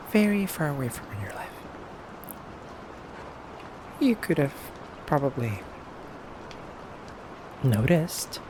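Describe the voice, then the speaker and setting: A man playing a menacing, teasing male vampire captor in an ASMR roleplay, speaking softly and hesitantly. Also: medium pitch, lightly acted. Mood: coy, probing. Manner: slow, hesitant, teasing, quietly threatening. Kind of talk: ASMR roleplay addressed to the listener. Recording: clearly noisy background ambience.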